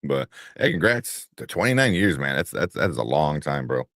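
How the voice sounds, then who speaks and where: A man with a very deep voice co-hosting a casual livestream show, laid-back and chuckling, rambling with fillers. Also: big pitch swings, moderate pace, a bit bright, no room sound, studio quality. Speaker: co-host.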